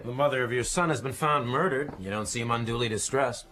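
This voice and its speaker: A man's voice: medium pitch, fairly quick, bright, forceful tone, natural voice. An actor playing a blunt police detective in a radio drama, pressing and sceptical as he questions. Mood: sceptical, probing. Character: blunt, probing 1950s American police detective.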